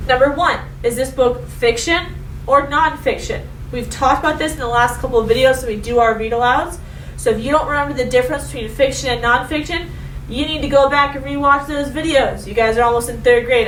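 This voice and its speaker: A woman schoolteacher giving a read-aloud lesson to young pupils, speaking instructively and directly, with expressive emphasis. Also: high-pitched. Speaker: elementary school teacher. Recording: roomy, distant mic with faint noise.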